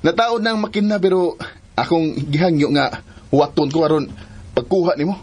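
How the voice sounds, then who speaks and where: A man playing an eager, boyish son in a Filipino radio drama, with a husky voice and a Filipino accent. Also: a little high, normal speed, darkish tone, natural voice. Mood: insistent, cheerful. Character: eager, boyish son proud of his new motorboat.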